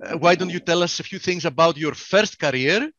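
A man in his forties co-hosting a webinar interview, friendly and helpful, speaking a little haltingly through a headset. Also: fairly high pitch, fairly bright tone, no room sound. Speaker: co-host. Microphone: headset on video call. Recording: dry, slightly dull webinar audio.

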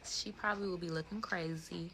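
A woman in her twenties with an American accent, chatting casually and playfully on a livestream in animated slang. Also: on the low side, a little fast, heavy background noise. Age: 20s. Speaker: livestream host. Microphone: phone at close range.

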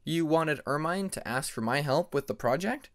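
A man's voice: on the high side, expressive, normal speed, a bit bright, no echo. A man voicing a thoughtful, polite young male dragon in an audiobook, speaking carefully and a little hesitantly. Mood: curious.

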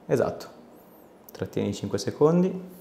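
A man's voice: medium pitch, expressive, normal speed, creaky, dark tone, echoey room, a little hiss or hum. A man in his thirties working as a chiropractor, chatting casually and giving short instructions to a patient in a small treatment room. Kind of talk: casual hands-on session talk with short instructions.

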